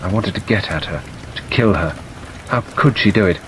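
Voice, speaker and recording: A bitter, jealous young woman in mourning , voiced by a male audiobook reader with a lowish voice. The delivery is emphatic, with phrases repeated for weight. Faint rain sounds sit behind the speech. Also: normal speed, low-quality recording. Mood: bitter hatred. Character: bitter, grieving, jealous young woman recalling her lover's death. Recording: steady rain noise over the voice. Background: rain ambience.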